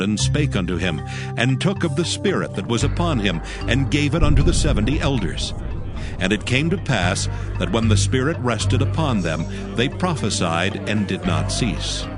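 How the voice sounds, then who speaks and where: Solemn male scripture narrator over music. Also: lowish voice. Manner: measured, solemn storytelling.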